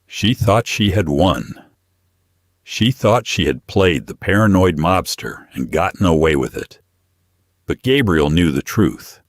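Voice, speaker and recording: A man's voice: sits low, lively intonation, measured pace, no echo. A man reading a dramatic scripted audio story as its narrator.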